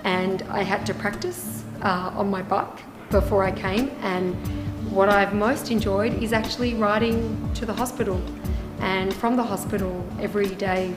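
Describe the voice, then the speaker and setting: A woman's voice: medium pitch, steady tone, normal speed. An Australian woman volunteering at a hospital, reflecting thoughtfully in an interview. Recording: roomy sound with music behind.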